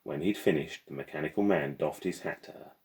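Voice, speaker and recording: Very deep-voiced male storyteller. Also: a little fast, darkish tone. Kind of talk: reading a children's novel aloud.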